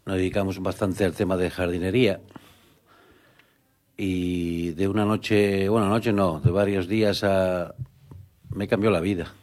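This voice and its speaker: A man's voice: deep voice, steady tone, a little slow, long pauses, darkish tone. A middle-aged man from the Canary Islands, a guest on a radio interview, talking earnestly and hesitantly with many restarts. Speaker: guest, rural real estate agent. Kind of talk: conversational interview.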